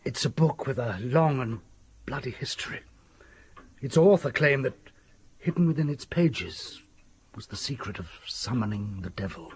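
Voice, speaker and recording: Haunted country doctor, English accent, heavily husky, breathy, deliberate, dramatic hushes, radio play. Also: male voice, medium pitch, animated. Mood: grave, knowing. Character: haunted country doctor confessing grave robbing, sardonic, fearful. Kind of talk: British radio drama acting.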